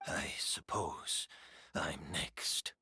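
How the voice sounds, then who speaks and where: A man playing a weary, dignified older scholar in a video game, halting and resigned to death. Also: bright, forceful tone, a little hiss or hum, heavily acted.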